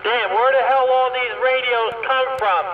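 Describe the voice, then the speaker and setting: High-pitched man on muffled CB radio. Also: fairly even intonation, moderate pace. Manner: blunt, profane. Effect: CB radio transmission. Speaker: CB radio operator. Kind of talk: casual CB radio chat. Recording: muffled, very noisy CB radio, distant.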